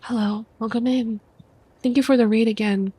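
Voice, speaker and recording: A young woman with a stuffy nose from a cold, reading a book aloud softly as an ASMR streamer into a close microphone. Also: a little high, steady tone, breathy edge, no echo. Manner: soft, quiet, unhurried reading voice. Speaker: VTuber streamer.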